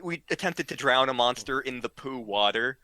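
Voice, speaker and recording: A man telling humorous anecdotes casually, in a rambling, halting and giggly way. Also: mid-range voice, animated, sharp tone, no room sound. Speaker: storyteller, regular of the show.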